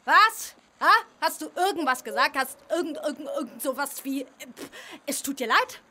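An actress playing a bossy, sarcastic official of heaven in a radio play, badgering and scolding. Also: female voice, sits high, lively intonation, normal speed, bright, forceful tone. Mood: indignant scolding.

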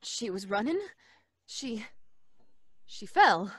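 A woman's voice: medium pitch, very animated, slow pace, slightly rough, no room sound, exaggerated voice. A woman playing a defensive, frightened young woman in old-time radio style, agitated and defiant. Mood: edgy, defensive.

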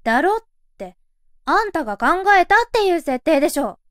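A woman's voice: very high voice, sing-song, moderate pace, no echo. A woman playing a grumbling young shrine maiden in an anime-style fan voice drama, with dry, exasperated retorts. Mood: puzzled, annoyed.

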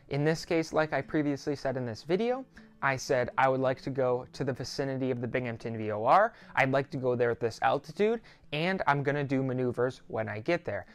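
A sixteen-year-old young man talking to camera as a host, explaining things in a reassuring, conversational way. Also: mid-range voice. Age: 16, teenager. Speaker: student pilot YouTuber, host. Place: small quiet home office, indoors.

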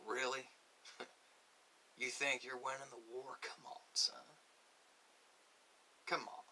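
A man playing a stern, folksy army sergeant in an ASMR interrogation roleplay, questioning a prisoner in halting, repetitive colloquial phrases. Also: medium pitch, sharp tone, poor audio. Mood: scornful. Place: small cluttered room, indoors. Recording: hissy home recording.

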